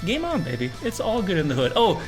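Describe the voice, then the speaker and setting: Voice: medium pitch, wildly swooping pitch, brisk. Slangy music reaction co-host over background music. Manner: slangy, swearing, jokey. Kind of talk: casual reaction chat.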